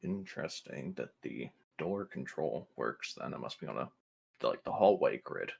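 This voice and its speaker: A man playing a tabletop roleplaying game, asking casual questions and thinking aloud haltingly. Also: a bass voice, huge pitch swings, creaky, darkish tone, slightly rough. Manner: hesitant, musing, thinking aloud.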